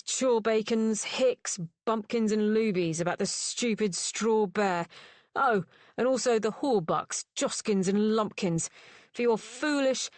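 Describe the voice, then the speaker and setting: A woman voicing a sardonic, jaded gentleman rakehell who talks in a slow, cutting, sarcastic drawl. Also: medium pitch, no echo, lightly acted.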